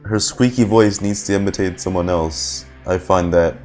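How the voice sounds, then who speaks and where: Very deep-voiced man, casual reactions. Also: steady tone, normal speed, over music. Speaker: streamer reacting to a video game. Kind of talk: casual off-the-cuff reaction commentary.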